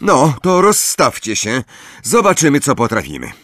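A man voicing a bossy teenage girl team captain who calls out brisk, scolding orders in an audiobook story. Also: medium pitch, huge pitch swings, fairly bright tone, no room sound, clean studio sound, voice pushed up.

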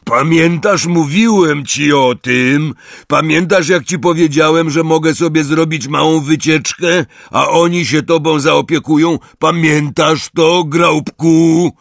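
A big, hearty storybook giant-tamer, acted out by a male narrator. He bellows in a raised, strained pitch, coaxing and blustering fondly. The words come slow and stretched, in a dry close recording. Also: steady tone, vocal fry, dry recording. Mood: loud, coaxing. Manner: loud, shouted, coaxing, drawn-out words.

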